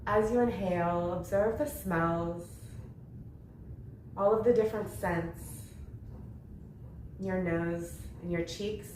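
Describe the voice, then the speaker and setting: Slow-paced woman guiding meditation, echoing room. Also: on the low side, steady tone. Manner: gentle, unhurried instruction in short phrases with long pauses. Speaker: yoga nidra meditation instructor. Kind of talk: guided meditation. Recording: roomy echo with faint background noise.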